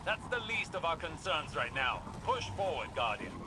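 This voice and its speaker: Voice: mid-range voice, big pitch swings, normal speed, noisy background, natural voice. An actor playing a stern, impatient older scholar-warlock in a video game, speaking in a clipped, grave, formal way. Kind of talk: video game radio dialogue.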